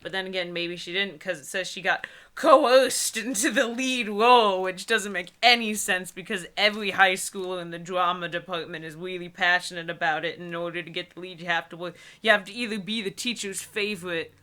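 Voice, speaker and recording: Bright-voiced young woman, slightly low, opinionated. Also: brisk, few pauses. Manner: animated, opinionated, sarcastic asides. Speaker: co-host of a comedic book-reading show. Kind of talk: casual chat mixed with mocking dramatic reading.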